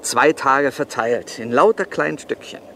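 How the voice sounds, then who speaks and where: An actor playing a fussy, persuasive film director in a naturalistic radio play, explaining things in a placating, hesitant way. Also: male voice, medium pitch, measured pace, vocal fry, bright, forceful tone, a little hiss or hum. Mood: placating, explanatory.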